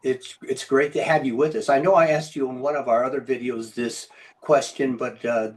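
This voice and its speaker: A man's voice: medium pitch, fairly even intonation, moderate pace. A man hosting an interview, reading a friendly introduction and asking his guest questions. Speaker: interview host.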